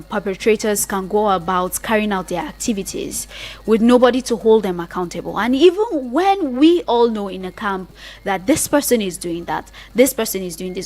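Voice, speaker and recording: A woman's voice: medium pitch, a little mellow. A woman with a Nigerian accent presenting an explanatory radio talk in an earnest, emphatic manner, often repeating phrases to list her points.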